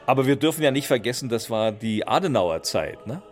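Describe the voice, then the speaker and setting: An older man from East Germany talks casually and with conviction, like an interviewee explaining to someone he knows. He lends his phrases a theatrical emphasis, at a medium pitch. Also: lively intonation, brisk. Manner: theatrical, quotes verses with emphasis. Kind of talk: animated casual explaining in conversation.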